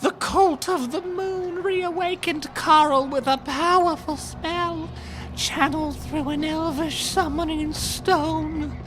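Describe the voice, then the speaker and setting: Very high, slow, dying little villain. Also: male voice, fairly even intonation, music in the background. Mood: weak, melodramatic. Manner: florid, melodramatic, insulting. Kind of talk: comedic fantasy audio drama acting.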